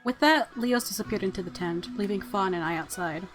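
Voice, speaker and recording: Female let's-player, excitable and gushing, narrating a visual novel over faint music. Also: mid-range voice, brisk. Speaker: host of a gaming channel. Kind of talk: let's play commentary and reading game narration aloud. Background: soft game music.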